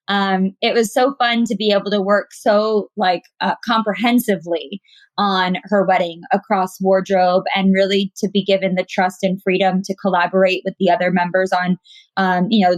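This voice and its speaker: A woman's voice: medium pitch, no room sound, poor audio. A woman stylist talking enthusiastically as a guest in a conversational interview.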